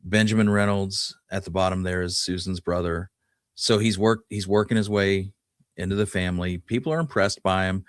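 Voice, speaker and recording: Deep-voiced man discussing history, dry sound. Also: fairly even intonation, normal speed, smooth, no echo. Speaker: podcast co-host.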